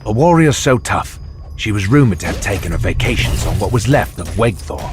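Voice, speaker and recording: Man playing a low-voiced heroic alien father, music behind. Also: expressive, brisk, close to an everyday voice. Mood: solemn, wry. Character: heroic alien father, solemn with dry deadpan jokes. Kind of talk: tongue-in-cheek dramatic video game narration.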